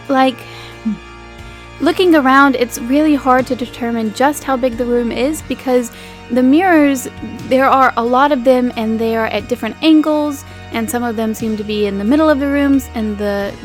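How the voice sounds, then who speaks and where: A woman improvising descriptions as game master of a tabletop roleplaying game, in an informal, feeling-her-way manner. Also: on the high side.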